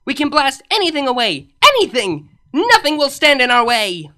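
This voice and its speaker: Woman voicing a bright, eager young pony princess. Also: high-pitched, sing-song, normal speed, pitched above the performer's own voice, full character voice. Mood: eager, zealous. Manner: bright, eager, emphatic delivery. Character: cheerful, zealous young female pony princess, eager to blast things.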